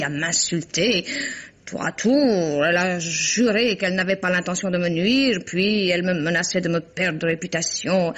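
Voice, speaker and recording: A haughty society woman from Spain in an old radio play. She speaks with theatrical emphasis and pride, and the recording is dull and lo-fi. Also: lowish voice. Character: proud, theatrical Spanish society woman named Carmen, accused. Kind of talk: vintage French radio mystery drama acting.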